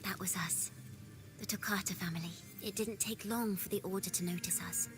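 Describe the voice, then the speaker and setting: A woman's voice: mid-range voice, steady tone, brisk, close to an everyday voice. Woman voicing a young performer, solemn storyteller, game audio, music behind. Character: young woman performer telling her tragic past. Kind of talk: game voice acting.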